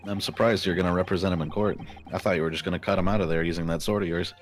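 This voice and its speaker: Deep-voiced man bantering over a game. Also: steady tone, racing, faint music behind. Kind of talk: casual joking chat while playing a game.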